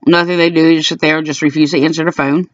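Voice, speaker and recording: A woman's voice: low voice, level tone, rapid-fire, no echo, recorded from a distance. An American woman making an indignant, complaining monologue, recorded casually on a handheld phone. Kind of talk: casual aggrieved monologue to camera. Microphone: phone held in hand. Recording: dull lo-fi, off-mic handheld phone.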